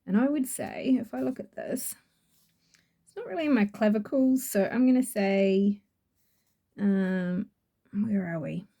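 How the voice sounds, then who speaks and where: A woman's voice: mid-range voice, unhurried, pauses often, mellow. A woman with a New Zealand accent reviewing an oracle deck in a chatty, gushing way, rambling with fillers. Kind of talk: casual product review.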